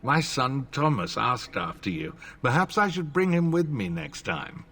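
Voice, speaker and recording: An actor playing a courtly, sly, greying middle-aged lord in a video game, with a gravelly voice and formal manner. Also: male voice, medium pitch, very animated, a little slow, fairly bright tone, exaggerated voice. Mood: courtly, genial. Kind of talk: video game dialogue acting.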